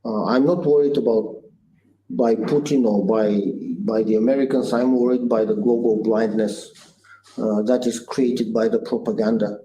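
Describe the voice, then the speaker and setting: A Bulgarian-accented man in his sixties speaks earnestly and thoughtfully, pausing often on "uh" and restarting his phrases. His voice is mellow and dark at a middle pitch. It sounds like a roomy, echoey space with a faint hiss behind him. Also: steady tone. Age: 60s. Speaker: guest entrepreneur. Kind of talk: conversational interview answers. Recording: echoey room with faint noise.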